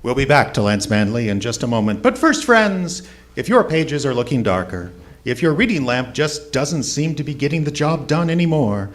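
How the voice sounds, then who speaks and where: A man hosting and announcing a live radio drama show in an old-time broadcast style. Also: fairly high pitch. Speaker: announcer and host.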